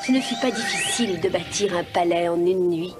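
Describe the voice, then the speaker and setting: A woman narrating a children's animated tale in a scripted storybook style. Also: on the low side, brisk. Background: film music.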